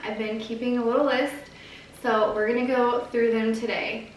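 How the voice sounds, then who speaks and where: A woman in her thirties, a home vlogger and mom, chatting casually in a soft, quiet, gentle voice. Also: on the high side, little pitch movement, moderate pace, slight background noise. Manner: gentle, earnest, chatty with fillers. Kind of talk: casual chat to camera. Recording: very echoey room, from a distance.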